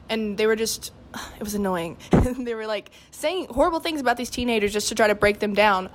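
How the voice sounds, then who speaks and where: Earnest teenage girl talking candidly. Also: fairly high pitch. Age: late teens. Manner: earnest, heavy use of "like". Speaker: student cast member, podcast guest. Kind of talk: casual, candid discussion.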